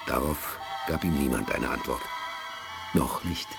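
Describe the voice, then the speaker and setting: A deep-voiced male storyteller narrates a 1980s science fiction radio play. His delivery is solemn, with music clearly playing beneath him. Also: a little slow, exaggerated voice. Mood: solemn, suspenseful. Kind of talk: 1980s German audio drama narration. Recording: music under the voice.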